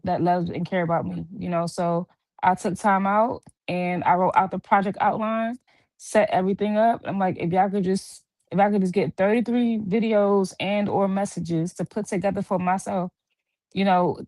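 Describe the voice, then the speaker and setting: A woman's voice: fairly low pitch, a little mellow, dry recording. A woman in her thirties, a guest on a video call chat, talking in an earnest, reflective way while telling her own story. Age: 30s. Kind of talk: casual chat. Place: hospital.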